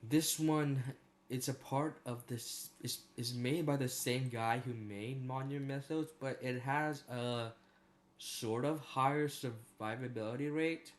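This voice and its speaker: A man with an average-pitched voice talks through his opinions as a video creator. His delivery is informal and rambling, peppered with slang and casual swearing. It sounds like off-the-cuff commentary. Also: steady tone, unhurried. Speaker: video creator ranking horror series.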